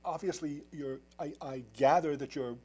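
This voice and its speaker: A man's voice: fairly high pitch, normal speed, darkish tone, slightly rough. A man hosting a TV interview, asking long, rambling questions with many restarts. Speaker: TV program host. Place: television studio.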